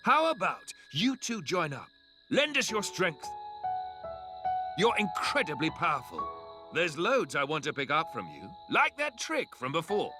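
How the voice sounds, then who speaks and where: A man's voice: on the high side, wildly swooping pitch, measured pace, music in the background. An actor playing a confident, earnest young nobleman in a fantasy game, articulate and encouraging. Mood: eager.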